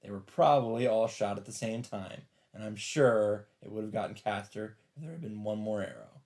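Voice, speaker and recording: A man's voice: fairly low pitch, moderate pace, a little mellow. A man hosting a let's play, reading visual novel narration aloud with brief asides. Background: game music.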